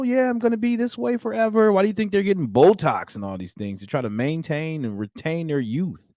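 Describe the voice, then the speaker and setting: A man in his thirties hosting a live stream, commenting and preaching to his chat in an animated way. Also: a little high, no room sound, clean studio sound. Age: 30s. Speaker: livestream host. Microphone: studio mic with headphones.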